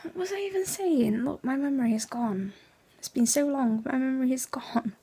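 Hoarse whispering teen girl. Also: high-pitched, lively intonation, moderate pace. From England. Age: teens. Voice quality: raspy. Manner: hushed, half-whispered, chatty. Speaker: vlogger. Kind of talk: casual late-night vlog. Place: bedroom at night, indoors. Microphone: laptop webcam.

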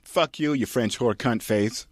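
A man's voice: medium pitch, a little slow, no echo, studio quality, pitched above the performer's own voice, lightly acted. A man voicing a bratty, depressed young actress with whiny valley-girl talk in a comic script reading. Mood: spiteful.

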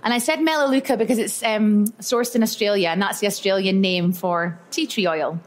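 A woman's voice: medium pitch, fairly quick. Woman, chatty, upbeat, live workshop talk. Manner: chatty and upbeat, storytelling with lots of "so" and "yeah". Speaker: speaker at a live expo talk. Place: event room with a live audience.

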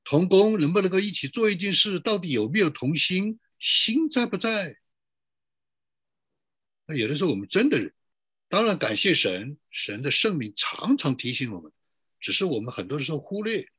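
A man's voice: a little high, very animated, moderate pace, a bit bright, breathy edge, no room sound, studio quality. A middle-aged man pastor giving a sermon to an online church, posing rhetorical questions and drawing out emphatic phrases.

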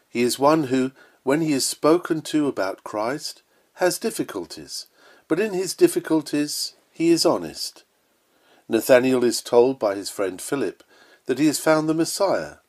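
A man's voice: mid-range voice, a little mellow. Earnest man reading an old sermon. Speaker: sermon reader. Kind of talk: read-aloud Victorian sermon, scripted narration.

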